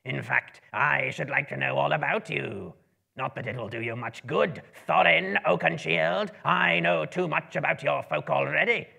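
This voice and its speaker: A man voicing a huge, pompous, wrathful goblin king with a raspy, gravelly voice and a loud, accusing, drawn-out delivery. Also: a little high, pitched above the performer's own voice, exaggerated voice. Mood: suspicious, menacing.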